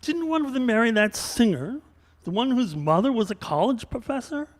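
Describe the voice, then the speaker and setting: A man's voice: very high voice, sing-song, moderate pace. A man playing a fussy, bewildered academic snob who asks pedantic, indignant questions in a radio comedy. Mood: incredulous, prying. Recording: reverberant hall recording.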